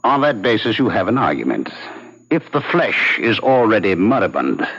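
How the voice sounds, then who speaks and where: Domineering, worldly young schemer for radio drama: raspy, swooping intonation, cynical, dull vintage sound. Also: male voice, lowish voice, big pitch swings, moderate pace, fairly bright tone, exaggerated voice. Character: charismatic, cynical young male medical student, commanding schemer. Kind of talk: old-time radio drama acting. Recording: muffled old radio recording.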